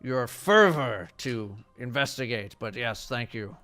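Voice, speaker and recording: Casting: eccentric cloud giant, courtly and formal, smooth even voice, done by a man. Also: mid-range voice, a little slow. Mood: patient. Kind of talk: tabletop game character voice.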